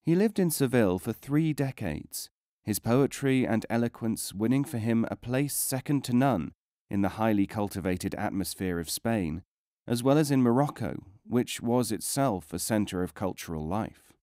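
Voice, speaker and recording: A man reading non-fiction as a scripted audiobook narration, in a measured, formal way with careful enunciation. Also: on the low side, lively intonation, dark tone, dry recording, clean studio sound.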